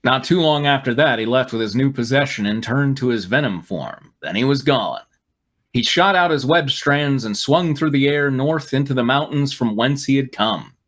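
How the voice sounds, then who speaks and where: A man hosting a comedy reading of fan fiction, narrating aloud and breaking off for sarcastic, animated asides. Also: mid-range voice, normal speed. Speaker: host and narrator.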